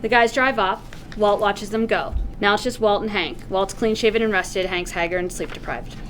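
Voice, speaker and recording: A woman's voice: mid-range voice, steady tone, normal speed, slight background noise. A woman reading scripted stage directions and narration aloud at a screenplay table read.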